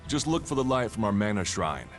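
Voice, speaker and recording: An actor playing a friendly, watchful warrior guide in a video game, advising in a plain-spoken way. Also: male voice, on the low side, sing-song, brisk, faint music behind. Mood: brisk, affable.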